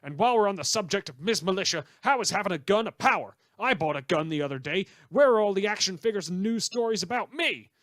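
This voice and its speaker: A man's voice: high-pitched, animated, fast talker, hardly any pauses, fairly bright tone, no room sound, studio quality, pitched above the performer's own voice. A man voicing a disgruntled, blustering gun owner reading out an angry complaint letter in a radio satire. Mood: indignant, outraged. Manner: blustering, emphatic. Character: indignant, disgruntled male gun-owning listener writing in.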